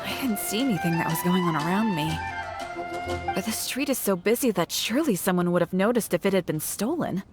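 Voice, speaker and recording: A woman's voice: medium pitch, expressive, fairly quick, music in the background, natural voice. A woman voicing a friendly, practical adult shopkeeper in a video game, explaining things in a conversational way. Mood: worried, puzzled.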